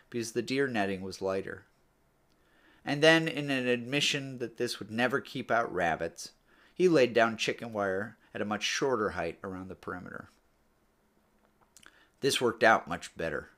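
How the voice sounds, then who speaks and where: Male audiobook-style narrator, fairly low pitch, measured storytelling delivery with falling phrase endings. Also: fairly bright tone. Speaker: author or reader narrating a book read-aloud.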